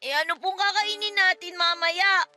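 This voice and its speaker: Young Filipino child, radio drama, extremely high pitch, sharp bright tone, pleading, hurt, polite. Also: female voice, fairly even intonation, moderate pace, faint music behind, exaggerated voice. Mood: plaintive.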